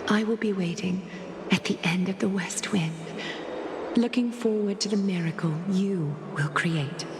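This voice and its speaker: An actress playing a dignified, composed woman leader in a game, speaking formally and tenderly in a solemn, measured way. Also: medium pitch, background noise, natural voice. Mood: solemn, hopeful. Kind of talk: scripted dramatic game voice acting, monologue. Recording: echoey hall reverb with music behind. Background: music.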